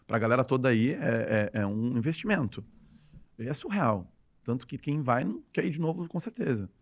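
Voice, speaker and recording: A man with a gaúcho Brazilian accent, a dance teacher telling stories with enthusiasm as a podcast guest. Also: mid-range voice. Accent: Gaúcho Brazilian Portuguese. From Rio Grande do Sul, Brazil. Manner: enthusiastic, rapid storytelling. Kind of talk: casual interview chat.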